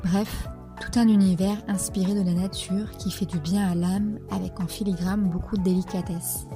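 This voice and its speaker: Soft-voiced woman podcast host. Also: fairly low pitch. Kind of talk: podcast intro then casual interview.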